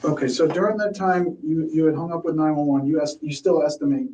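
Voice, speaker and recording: A male police detective questioning a suspect in a measured, explanatory way during an interrogation. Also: on the high side, fairly even intonation, warm tone, slight background noise. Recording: echoey interview room, distant mic.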